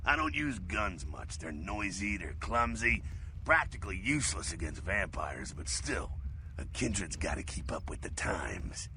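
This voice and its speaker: An actor playing a gruff, streetwise veteran vampire in a video game, with a gravelly American voice and a salty, slangy, wry manner. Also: male voice, low-pitched, sing-song, unhurried, noisy background, heavily acted. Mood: brash, instructive. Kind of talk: video game character dialogue.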